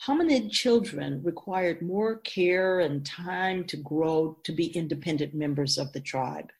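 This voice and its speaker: A woman playing a wise otherworldly spirit in a radio drama, explaining things patiently in a measured, knowing way. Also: fairly low pitch, darkish tone. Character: Keeper of Souls, wise otherworldly spirit in female voice.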